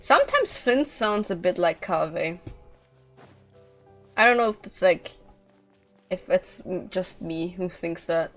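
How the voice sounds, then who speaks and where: A woman's voice: fairly low pitch, big pitch swings, unhurried, pauses often, fairly bright tone. A woman giving casual, offhand, skeptical live commentary while streaming a video game. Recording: faint game music behind the voice.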